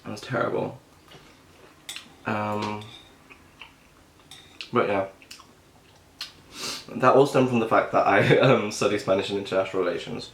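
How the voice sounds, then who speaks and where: Gravelly, low-voiced young British man. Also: fairly even intonation, unhurried, long pauses, echoey room, slight background noise. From Britain. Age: young adult. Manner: chatty and self-deprecating, with giggles and throat-clearing. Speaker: vlogger. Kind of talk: casual mukbang chat.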